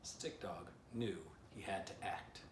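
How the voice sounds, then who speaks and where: A man's voice: low voice, takes it slowly. A man narrating a children's storybook as its author, with playful asides straight to the listener. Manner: playful direct address to the listener. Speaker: author reading aloud. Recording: roomy, distant mic, faint noise.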